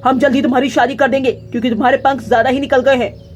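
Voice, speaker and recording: Casting: woman as strict father bird for a cartoon, high-pitched, controlling, scolding. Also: steady tone, fast talker, slight background noise, natural voice. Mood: stern. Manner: scolding, domineering.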